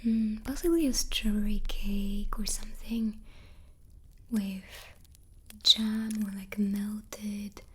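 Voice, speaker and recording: A woman's voice: mid-range voice, fairly even intonation, takes it slowly, husky. A woman hosting a whispered ASMR chat, answering questions while snacking on cookies close to the microphone. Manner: soft unvoiced whisper throughout. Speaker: ASMR host.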